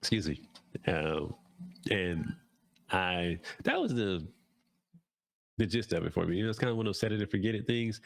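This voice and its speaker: A man's voice: sits low, big pitch swings, normal speed, vocal fry, long pauses, no echo, studio quality. A man talking casually as a podcast host, nasal and congested from a cold, rambling with fillers and restarts. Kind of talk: casual solo talk.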